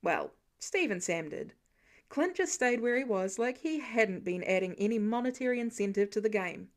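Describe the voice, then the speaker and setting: A woman reading a story aloud as an audiobook narrator, expressive and scripted. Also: on the high side, normal speed.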